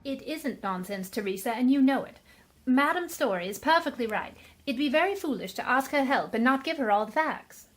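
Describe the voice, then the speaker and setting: Woman acting upper-class lady, radio play, high pitch, firm, emphatic, deliberate, insistent. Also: lively intonation. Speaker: actor in an amateur radio play. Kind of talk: radio mystery drama acting.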